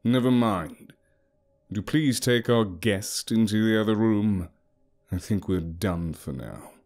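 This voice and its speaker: A man voicing a menacing ancient male villain who speaks calmly and politely. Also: fairly low pitch, unhurried. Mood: cold.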